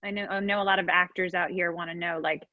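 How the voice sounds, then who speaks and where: A woman moderating a panel, asking questions and backing up speakers with quick affirmations. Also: lowish voice, level tone, no room sound, muffled recording. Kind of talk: panel discussion over video call.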